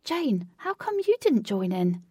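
A woman voicing an excitable, friendly young man with a slangy, chummy manner in a story. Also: mid-range voice, measured pace, husky, dry recording, clean studio sound. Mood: excited. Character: excitable, friendly young male teammate Lynx, chummy and energetic.

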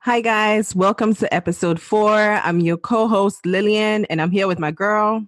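A young woman from Ghana co-hosting a podcast, talking in a relaxed, chatty way with plenty of fillers. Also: medium pitch, a little slow, soft background music. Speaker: podcast co-host. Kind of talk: casual chat.

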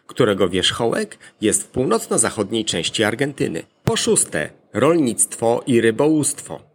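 Guy doing a scripted explainer, kinda gravelly, formal but pitch moves around a lot. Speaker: presenter. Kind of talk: scripted explanatory monologue, list of arguments.

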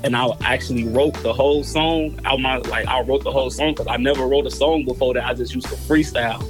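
A young man with a Chicago accent, speaking at a middling pitch in an easygoing, halting way. He falls back on casual slang and trails off mid-thought. Faint music plays under his voice. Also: fairly even intonation. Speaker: rapper guest.

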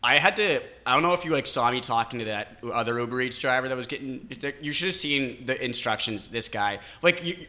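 A man's voice: mid-range voice, animated, fairly quick, hardly any pauses, pushed and bright. A man in his twenties co-hosting a podcast, rambling and joking in casual chat. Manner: rambling, joking, many restarts. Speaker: podcast co-host.

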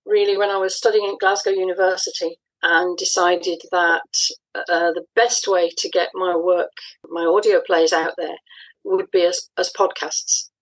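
A woman speaking as an interview guest, explaining things conversationally in a measured, reflective way with pauses between phrases. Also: lowish voice, little pitch movement, rough recording. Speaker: interview guest, audio dramatist.

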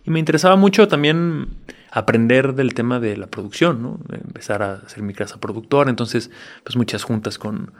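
A Mexican actor in his forties chatting in an interview, relaxed and frank, with slang and frequent tag questions. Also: medium pitch, normal speed. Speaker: actor and guest. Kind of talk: casual interview chat.

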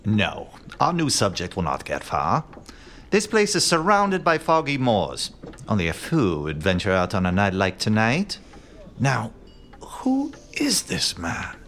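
A grandiose, aloof male detective in a comic mystery audio drama. His pitch ranges extremely widely as he pronounces each deduction with deliberate, self-assured pomp. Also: wildly swooping pitch, slight background noise, exaggerated voice. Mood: brooding, haughty. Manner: theatrical, haughty, deliberate, given to hushed musing.

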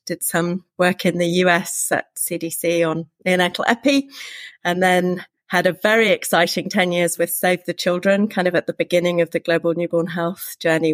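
A woman's voice: lowish voice, dry recording. A woman guest on a podcast, talking about her career in a reflective, enthusiastic way. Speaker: guest professor. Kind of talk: conversational podcast answer.

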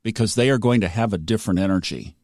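A man's voice: on the low side, fast, darkish tone, dry recording, studio quality. A man hosting a podcast, talking in an earnest, reflective and upbeat way. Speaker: podcast host. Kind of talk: casual podcast chat.

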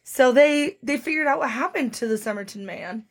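A woman with a slightly hoarse voice, co-hosting a casual podcast, joking with puns and reading out background facts. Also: high-pitched, moderate pace. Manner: playful, punning, reads lists of facts aloud. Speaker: podcast co-host.

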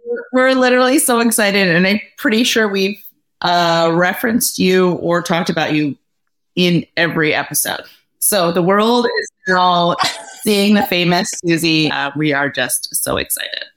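Eager woman co-hosting, lowish voice. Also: measured pace, a bit bright. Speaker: podcast co-host. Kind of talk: casual podcast conversation.

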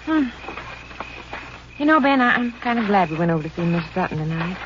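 An actress playing a calm, reassuring ranch wife in a 1950s radio drama. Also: female voice, lowish voice, brisk. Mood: easygoing. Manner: homely, reassuring, lively intonation. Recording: lo-fi old radio transcription with hiss.

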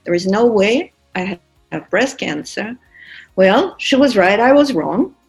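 A woman's voice: medium pitch, animated, unhurried, gravelly, rough recording. Woman, 50s, Russian accent, candid and wry, frequent fillers, faint background music. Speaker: podcast producer and guest. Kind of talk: casual personal story.

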